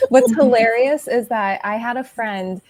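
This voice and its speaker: A woman's voice: on the high side, a little slow, a little mellow, no echo. A woman co-hosting a livestream, telling stories casually with a giggly manner. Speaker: co-host of a group livestream. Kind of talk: casual group chat.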